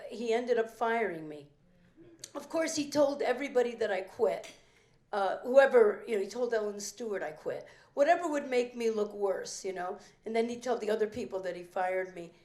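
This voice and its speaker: An older woman with a fairly high voice tells stories about her theater career at a benefit. Her delivery is animated and rambling, full of restarts and asides, and she slips into acted-out quotes. The sound echoes around a roomy event hall. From from a working-class Italian immigrant family. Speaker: veteran performer speaking at a theater benefit. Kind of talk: anecdotal storytelling speech. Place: event room at a private club.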